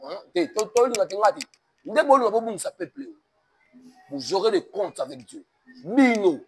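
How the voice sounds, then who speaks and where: A man's voice: high-pitched, animated, moderate pace. A man from DR Congo with a Congolese French accent, speaking in an emphatic sermon-like cadence and mixing French with Lingala. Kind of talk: preaching-toned interview talk.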